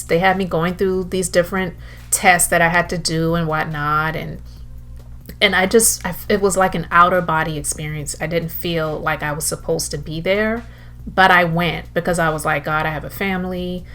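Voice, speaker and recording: A woman telling a personal motivational story in an earnest way, with deliberate, emphatic repetition. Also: fairly low pitch, steady tone, fairly bright tone. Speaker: entrepreneur telling her story. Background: background music.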